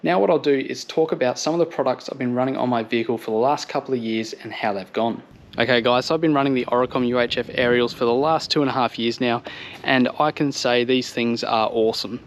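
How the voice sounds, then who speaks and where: A man's voice: mid-range voice, steady tone, fast. A man in his thirties with an Australian accent, giving a casual, explanatory product review to his viewers outdoors. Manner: informal explanatory walk-through, addressing viewers. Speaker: vlogger reviewing vehicle gear. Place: outdoors on a home driveway by a vehicle.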